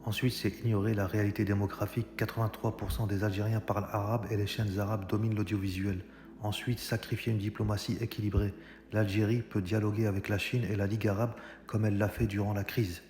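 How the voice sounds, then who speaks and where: A man's voice: fairly low pitch, little pitch movement, fast, a little mellow. Male commentator, argumentative monologue, hesitant delivery, reverberant room. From Algeria. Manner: argumentative, addresses viewers directly, frequent hesitations. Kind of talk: political monologue. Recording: echoey room with long reverb. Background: music.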